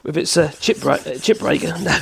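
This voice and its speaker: A man with a cold, hoarse, chatting in a rambling way as he demonstrates in his workshop. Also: a little high, a little fast, fairly bright tone. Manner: rambling, chatty, self-correcting, chuckles. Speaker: woodworking channel host.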